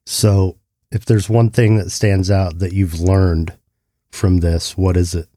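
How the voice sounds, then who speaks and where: A man hosting an interview, asking calm, thoughtful questions. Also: deep voice, takes it slowly, dry recording, clean studio sound. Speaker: podcast host.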